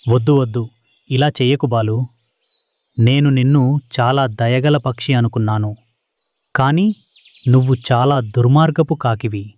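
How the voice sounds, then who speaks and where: A man's voice: mid-range voice, normal speed, pauses often, darkish tone, no room sound, close to an everyday voice. A man playing a poor, trusting father pigeon, earnest and anxious, in a children's cartoon. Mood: upset. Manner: earnest, innocent, pleading.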